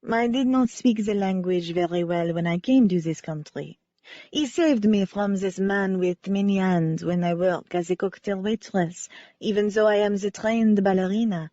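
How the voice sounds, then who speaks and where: A woman voicing a petite female ballerina who speaks in formal, halting English with stiff, careful phrasing, in an audiobook. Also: medium pitch, dark tone, no room sound, lo-fi sound, natural voice. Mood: earnest.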